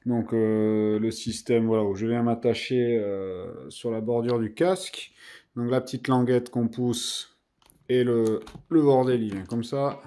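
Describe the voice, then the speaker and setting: Male reviewer, soft dark timbre, informal and self-deprecating, chatting through a hands-on demo with the odd mild swear. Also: medium pitch, steady tone, measured pace. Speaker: product reviewer. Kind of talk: casual hands-on review chat.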